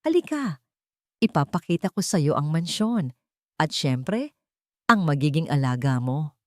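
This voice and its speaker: A woman's voice: low-pitched, sing-song, unhurried, a little mellow, no echo, studio quality. Sweet, calculating rich lady, read by a woman. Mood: syrupy, cool. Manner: honey-sweet, polite. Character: elegant, sweetly fake, calculating mistress of a mansion.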